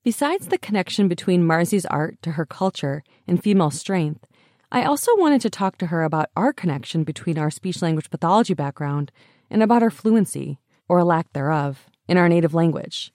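A young woman hosts a podcast, asking questions and sharing her own side in a thoughtful, conversational way. Her voice is moderately low and even. It sounds close and dry. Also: fairly quick, no room sound. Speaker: podcast host. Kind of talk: casual interview and scripted voice-over narration.

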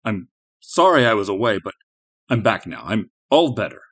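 A man's voice: on the low side, sing-song, moderate pace, breathy edge. A man voicing a menacing plastic monster that poses as a father, moving between false soothing and snarling demands. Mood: falsely soothing. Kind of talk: audiobook character acting.

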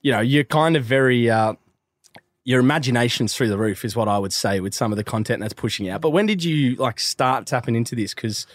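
A man's voice: mid-range voice, brisk, no echo, studio quality. A man, hosting a casual podcast interview in a laid-back, matey way and laughing often. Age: 20s. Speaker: podcast host.

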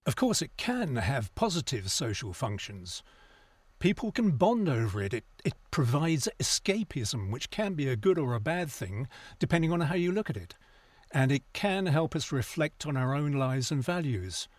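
A man's voice: medium pitch, sing-song, normal speed, no echo. A man playing a scathing, articulate cultural critic debating on a broadcast news panel in an audio drama. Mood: earnest, critical. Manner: articulate, opinionated.

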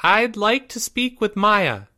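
A man's voice: sits high, very animated, pushed and bright, pitched above the performer's own voice, exaggerated voice. A man voicing a timid, anxious young boy, loyal and stammering, in a storybook reading. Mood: indignant, brave. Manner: stammering, halting.

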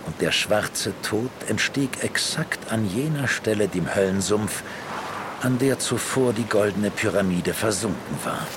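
An actor playing a grave, cryptic ancient magician in a fantasy audio drama. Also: male voice, on the low side, lively intonation, measured pace, background noise. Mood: solemn, urgent.

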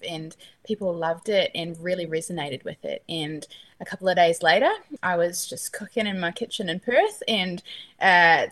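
A woman's voice: fairly low pitch, fairly bright tone. A young woman with a New Zealand accent, a guest talking about her life and work, bubbly and laughing often. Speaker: guest, artist and ministry founder. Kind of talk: casual interview chat.

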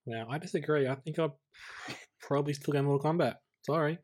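A man's voice: on the high side, brisk. A man co-hosting a film podcast in an easygoing, conversational way. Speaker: podcast co-host. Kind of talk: casual film podcast chat.

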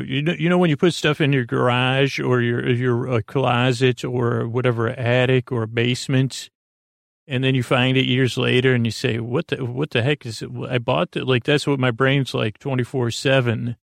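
A man with a creaky, lulling voice hosting a bedtime podcast, meandering haltingly and interrupting himself as he drifts between thoughts. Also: medium pitch, dry recording, clean studio sound. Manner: halting, digressive, self-interrupting. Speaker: host of a bedtime sleep podcast. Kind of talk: rambling, meandering bedtime monologue.

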